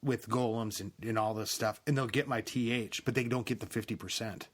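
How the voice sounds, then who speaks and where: A man's voice: mid-range voice, fairly even intonation, fairly quick, breathy edge. A man co-hosting a gaming podcast, chatting casually and thinking aloud in a tentative way. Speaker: podcast co-host.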